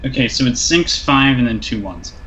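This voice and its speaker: Man, lively swinging intonation, casual gamer chat, player, muffled slightly noisy mic with clicks. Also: medium pitch, moderate pace. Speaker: player in a tabletop game. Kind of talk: casual chat while gaming.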